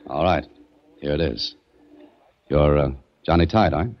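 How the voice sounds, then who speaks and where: A wry, deep-voiced hard-boiled detective. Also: male voice, normal speed. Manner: dry, wisecracking. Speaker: narrator and lead. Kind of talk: 1940s radio drama acting. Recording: dull old radio recording.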